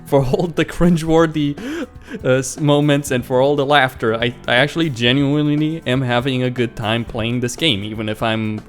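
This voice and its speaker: A man's voice: mid-range voice, moderate pace. A man hosting a let's play, reading a visual novel aloud and reacting informally with some mild swearing, with game music behind him. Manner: acts out dialogue and comments informally with mild swearing.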